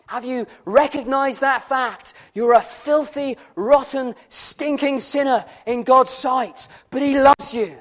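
A man's voice: extremely high pitch, slow pace, few pauses. A man preaching an evangelistic sermon in a fervent, solemn and emphatic way, building on repeated phrases and rhetorical questions.

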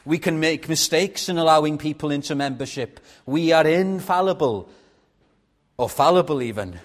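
A man with a Welsh accent, a native Welsh speaker, preaching a sermon in a measured way and putting rhetorical questions to his congregation. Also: fairly high pitch. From Wales.

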